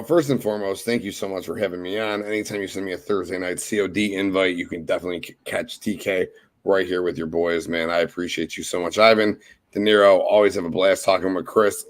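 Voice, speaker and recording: A man with a fairly low voice talks as a podcast guest. He is laid-back and friendly, running through thanks and plugs in a relaxed way. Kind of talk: casual podcast chat.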